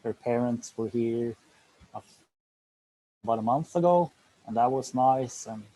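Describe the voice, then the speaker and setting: A man's voice: mid-range voice, measured pace, warm tone, no echo, rough recording. A man chatting casually and a little hesitantly about his holidays. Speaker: group participant. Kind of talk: casual chat.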